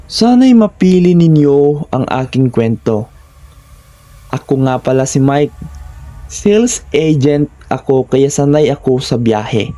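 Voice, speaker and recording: A man narrating a scripted first-person horror story in short, measured phrases. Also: on the high side, dark tone.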